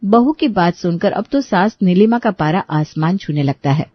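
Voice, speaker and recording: A woman narrating a scripted family story in an expressive storytelling style. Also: fairly low pitch, normal speed, highs cut off.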